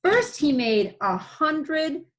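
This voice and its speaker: Animated woman reading a children's story aloud. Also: high voice, extremely slow. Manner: explains words as she reads. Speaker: read-aloud host.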